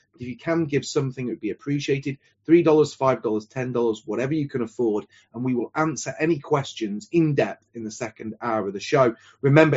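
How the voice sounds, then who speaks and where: A man hosting a livestream, appealing earnestly for donations and warmly introducing his guest with emphatic stress. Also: on the high side, breathy edge. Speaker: host of a livestream show. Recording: dull lo-fi stream audio.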